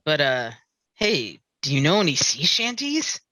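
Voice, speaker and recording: A woman playing a hesitant, uneasy landlubber in a comic musical table read, speaking haltingly. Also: low-pitched, big pitch swings, pushed and bright, dry recording, heavily acted.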